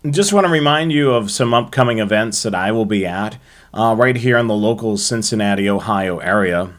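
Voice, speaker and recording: An American man hosting a hobby show, presenting to viewers in a halting way with frequent ums and uhs. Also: fairly low pitch.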